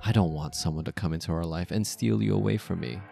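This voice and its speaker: Man acting a deep-voiced possessive mafia boss. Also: fast talker, creaky, music in the background. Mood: controlling, menacing. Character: possessive male mafia boss, controlling yet tender bridegroom. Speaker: ASMR roleplay voice actor. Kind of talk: ASMR romantic roleplay monologue.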